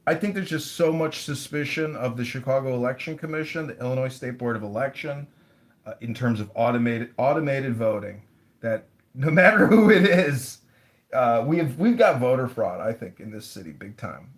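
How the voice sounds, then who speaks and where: Blunt, rambling man of middle pitch. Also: expressive, normal speed. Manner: blunt, rambling, swears freely. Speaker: mayoral candidate. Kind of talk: casual interview conversation.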